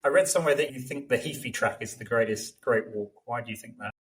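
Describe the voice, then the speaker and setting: A man hosting a hiking podcast, speaking in a measured way. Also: mid-range voice, a bit bright, slightly rough. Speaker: podcast host. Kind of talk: scripted introduction and interview questions.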